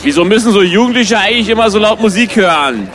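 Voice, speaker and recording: Guy as a laid back plain-talking drinking mate, bit high voice, lively, radio play. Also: fast, over music. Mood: mildly exasperated. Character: easygoing drinking companion smoothing over squabbles. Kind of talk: comedic radio play dialogue, colloquial.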